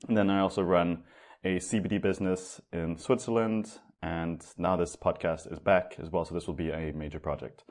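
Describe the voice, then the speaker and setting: A man's voice: low voice, steady tone, moderate pace, a little mellow. A young man hosting a podcast, chatting casually and upbeat, with a loose, swearing-now-and-then manner. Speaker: podcast host.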